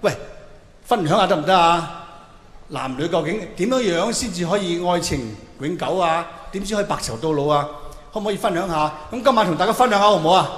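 A man's voice: sits high, expressive, brisk, fairly bright tone. A middle-aged man with a Hong Kong Cantonese accent performing a stand-up monologue, colloquial and theatrical, throwing questions out to his listeners. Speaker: stand-up comedian. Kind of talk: stand-up comedy monologue to a live audience. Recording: echoey hall with long reverb, faint noise.

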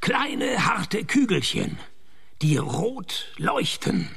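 A man playing a sardonic private detective in a radio play, narrating and speaking with dry, deadpan sarcasm. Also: high-pitched, drawn-out words, gravelly, slightly rough, dry recording, full character voice. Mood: wry. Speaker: narrator and lead actor.

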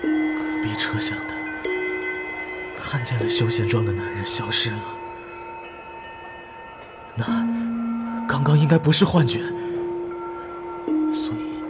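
A man's voice: lowish voice, takes it slowly, long pauses. An actor playing a tense, watchful young male passenger reasoning things out in an audio horror drama. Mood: tense, alarmed. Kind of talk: audio horror drama inner monologue and dialogue. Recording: music loud behind the voice.

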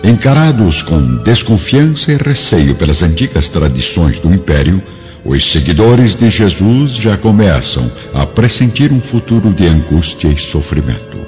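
Casting: male narrator, very low voice with sweeping intonation, Brazilian accent, solemn radio-drama storytelling. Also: sing-song, a little slow, darkish tone. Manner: solemn, formal. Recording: dull lo-fi radio drama, music behind.

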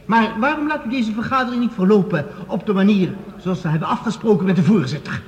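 A man's voice: sits high, expressive, quick pace, long reverb tail, dull audio. An actor playing a combative, skeptical professor who challenges his rival with indignant formality in a radio play. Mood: challenging.

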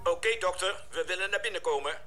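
An actor playing a composed, decisive spaceship commander who gives brisk orders in a science fiction radio drama. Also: male voice, high-pitched, over music, natural voice. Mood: calm, businesslike.

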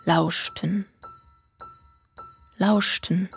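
An actress playing a deadpan, matter-of-fact teller of macabre, absurd tales in a radio play. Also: female voice, fairly low pitch, very slow, gravelly, soft background music, heavily acted. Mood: deadpan, quiet. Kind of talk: literary radio play narration.

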